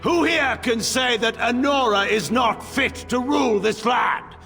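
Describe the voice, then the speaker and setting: An actor playing a proud, bitter middle-aged general in a game cutscene, speaking with grandiose, commanding oratory. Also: male voice, extremely high pitch, a little slow, gravelly, full character voice. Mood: rousing, defiant. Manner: oratorical, forceful, grandiose delivery. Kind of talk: dramatic game cutscene acting. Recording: long echoing hall, faint music behind.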